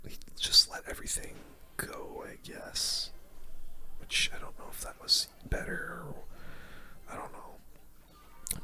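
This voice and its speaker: Close, bright whispering gamer. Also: very high-pitched. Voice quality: unvoiced breathy whisper. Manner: soft whispering, mild swearing, musing aloud. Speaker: gaming video creator. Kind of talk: ASMR gaming commentary. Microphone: close microphone.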